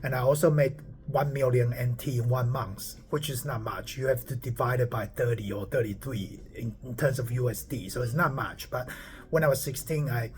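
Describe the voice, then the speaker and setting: Man from Taiwan, 40s, founder, casual talk to camera, rambling, repeats himself, keeps saying okay, middle pitch, phone mic. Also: fairly even intonation, normal speed, a little mellow. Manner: rambling, repeats words and asks "okay?" often. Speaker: startup founder.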